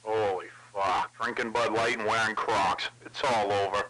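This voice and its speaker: A man's voice: fairly low pitch, fairly even intonation, moderate pace. A man chatting casually over CB radio with crude, profane banter. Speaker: CB radio operator. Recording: noisy CB radio transmission with clicks.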